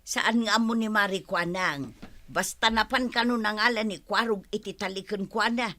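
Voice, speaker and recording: A woman's voice: mid-range voice, moderate pace, pushed and bright, lightly acted. A woman acting an emotional, plain-spoken village mother in a drama serial. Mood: scolding.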